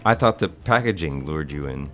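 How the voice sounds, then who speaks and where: Man playing a deep-voiced charming young bachelor. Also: expressive, normal speed, fairly bright tone, soft background music. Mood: teasing. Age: young adult. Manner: smooth, teasing, courteous. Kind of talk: period romantic audio drama acting.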